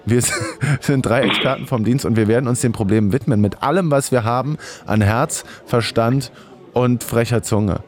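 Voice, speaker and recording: A man's voice: mid-range voice, normal speed. A man hosting an improvised comedy radio show, chatty and playful with mock-dramatic announcements.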